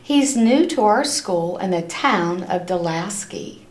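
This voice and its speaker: A woman voicing a proper, brisk second-grade teacher from a storybook, announcing clearly to her class. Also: medium pitch, animated. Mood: bright announcing. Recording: roomy, echoing room sound.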